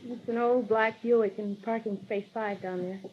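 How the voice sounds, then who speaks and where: A woman's voice: a little high, fairly even intonation, normal speed, slight background noise, lightly acted. A woman playing a coy, clinging sweetheart in a 1950s radio drama, talking in an intimate, coaxing manner. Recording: tinny, band-limited vintage radio recording.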